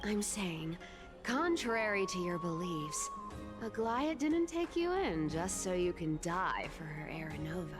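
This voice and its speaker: Mischievous, swooping trickster demigod. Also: female voice, on the low side, sing-song, measured pace. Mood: probing. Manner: playful, teasing, theatrical swoops between airy murmurs and exclamations. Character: mischievous greedy demigod trickster woman, teasing and brash. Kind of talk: animated video game voice acting. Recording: game audio with clear background music.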